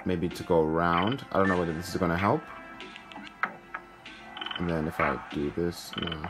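Deep voiced guy doing chill informal gameplay talk, music playing loud behind him. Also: steady tone, moderate pace. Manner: informal, thinking aloud. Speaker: gaming video host. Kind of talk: casual gameplay commentary. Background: game music.

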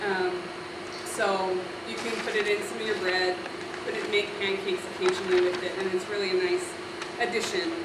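A woman in her thirties gives a relaxed talk to a room full of people, using plenty of fillers. She projects her voice to reach the back of the hall. The sound comes from far off, with heavy echo and a lot of background noise. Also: medium pitch. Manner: loud projecting voice, friendly with fillers. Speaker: presenter at a food storage class. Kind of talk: casual class presentation. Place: meeting hall with audience. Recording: echoey hall from far off, noisy.

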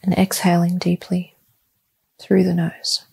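A woman guides a meditation in a husky, slightly gravelly voice. She speaks very slowly and calmly, keeping an even, level tone and leaving long pauses between short, soothing instructions. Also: on the low side.

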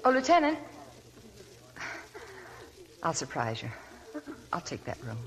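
Weary, heartsick young wife, 1940s radio. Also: female voice, low voice, wildly swooping pitch, slow, noisy background, full character voice. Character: weary, heartsick young wife telling her sad past. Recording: old 1940s radio broadcast, audience murmur.

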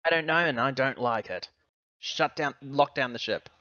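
A man's voice: medium pitch, normal speed, pushed and bright, muffled recording. A man playing a tabletop game over voice chat, speaking in short, decisive phrases.

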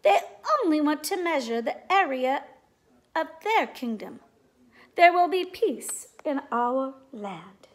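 A woman voicing a commanding, fair-minded storybook king with declamatory, measured proclamations to his knights. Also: high voice, animated. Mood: proud.

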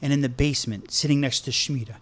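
A man's voice: mid-range voice, steady tone, fast, fairly bright tone. A man co-hosting a podcast, a former chef, talking enthusiastically in a casual chat. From United States, Connecticut. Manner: enthusiastic, self-described people person.

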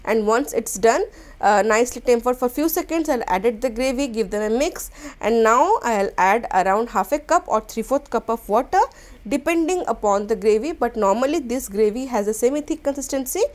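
A woman's voice: fairly high pitch, expressive, moderate pace. Woman explaining a recipe. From India. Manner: step-by-step instructional, gentle, with small restarts. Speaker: cooking channel host. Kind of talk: cooking demonstration voice-over.

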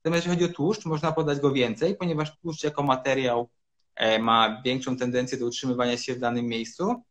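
A man in his thirties, a urologist guest, explaining treatments in measured sentences with frequent hesitations over a video call from a room at home. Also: mid-range voice, fairly even intonation, fairly bright tone. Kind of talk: explanatory interview answers.

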